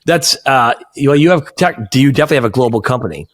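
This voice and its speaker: A man's voice: mid-range voice, expressive, fast. An American man with a New England accent, hosting a podcast interview in a friendly, joking, self-deprecating way. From United States. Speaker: podcast host. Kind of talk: casual interview chat.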